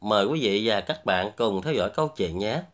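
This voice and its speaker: A man's voice: lowish voice, lively intonation, fairly quick. A man hosting and narrating a fairy tale from a script as a storyteller. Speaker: storyteller, host.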